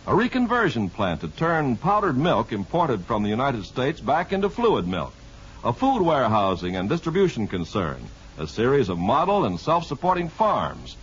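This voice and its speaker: A man's voice: medium pitch, fairly bright tone, slightly rough. A muffled vintage radio recording of a male announcer reading scripted copy. His intonation swings widely and dramatically. He sounds formal and emphatic, in the manner of 1950s network radio.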